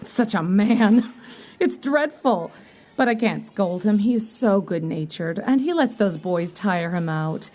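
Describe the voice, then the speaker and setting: A woman's voice: medium pitch, lively intonation, measured pace, warm tone. A woman playing a fussy, motherly older landlady in an audio drama, exclaiming and fondly scolding.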